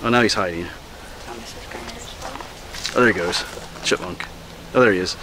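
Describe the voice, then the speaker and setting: A man from Pennsylvania narrating his hike in a relaxed, chatty way, recorded outdoors on a camera. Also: low voice, normal speed. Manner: relaxed, chatty, pointing things out. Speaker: hiking vlogger. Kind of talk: casual narration while hiking. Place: outdoors on a wooded mountain trail. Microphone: handheld action camera. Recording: outdoor noise, insects and wind.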